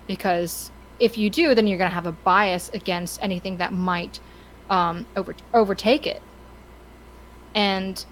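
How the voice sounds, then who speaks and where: A woman co-hosting a podcast, talking casually and with opinions in a halting, stop-start way as she searches for words. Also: medium pitch, a bit bright, a little hiss or hum, poor audio. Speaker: podcast co-host.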